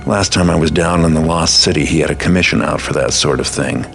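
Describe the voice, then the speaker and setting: Video game master thief: bottom-of-the-range pitch, creaky gravel, weary, guarded, dryly sardonic. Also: male voice, quick pace, a bit bright, soft background music, exaggerated voice. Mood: businesslike. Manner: dry, sardonic, understated. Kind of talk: video game cutscene acting.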